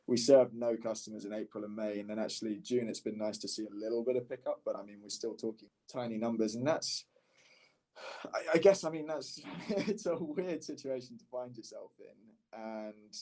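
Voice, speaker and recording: A man's voice: lowish voice, normal speed, dark tone, poor audio. A man speaking casually as a podcast guest and startup founder, candid and often rephrasing mid-sentence. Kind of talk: casual conversational podcast interview.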